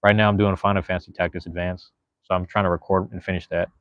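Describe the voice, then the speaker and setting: A man's voice: sits low, flat delivery, quick pace, smooth, no room sound. Laid-back man in his thirties, dull lo-fi sound. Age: 30s. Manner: rambling, laid-back, slangy, swears freely. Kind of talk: casual chat.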